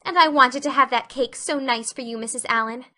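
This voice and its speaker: A woman's voice: sits high, brisk, pushed and bright, pitched above the performer's own voice. A woman voicing an effusive, dramatic orphan girl in an audiobook reading. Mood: forlorn. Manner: effusive, melodramatic.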